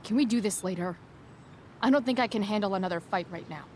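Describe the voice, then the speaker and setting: A woman's voice: medium pitch, fast talker, slight background noise, natural voice. A woman playing a defensive, rebellious teenage girl with dry sarcasm in a video game cutscene. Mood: defiant, sarcastic.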